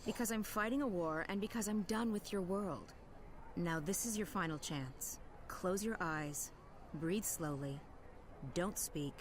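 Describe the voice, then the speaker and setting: A woman's voice: fairly low pitch, unhurried, a little hiss or hum, close to an everyday voice. An actress playing a curt, guarded, war-weary young rebel woman in a fantasy game, terse and no-nonsense. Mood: curt, impatient.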